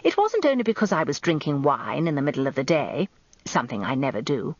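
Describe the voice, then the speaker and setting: An actress playing a prim middle-aged barrister's wife, narrating a radio story in a confiding, arch manner. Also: female voice, low voice, big pitch swings, brisk, no echo, exaggerated voice. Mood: confiding, flustered. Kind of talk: dramatised scripted storytelling. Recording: slightly dull, lo-fi radio recording, dry.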